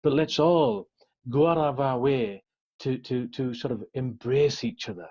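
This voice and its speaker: A man's voice: medium pitch, a little slow, darkish tone, breathy edge, highs cut off. A middle-aged man with a Yorkshire accent speaking earnestly and conversationally as an interview guest, often adding "you know" and "sort of". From Yorkshire, England. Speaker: interview guest, police officer.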